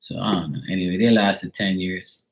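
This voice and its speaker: Chatty rambling man, slightly low voice. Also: normal speed, poor audio. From Bermuda. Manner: rambling, chatty storytelling with frequent fillers. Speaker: live stream host. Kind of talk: casual chat.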